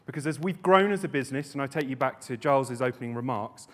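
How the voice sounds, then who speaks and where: A man's voice: mid-range voice, lively intonation, fast talker. A man on a conference stage introducing a panel, often breaking off mid-sentence to restart his thought. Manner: rambling, self-interrupting. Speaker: conference presenter.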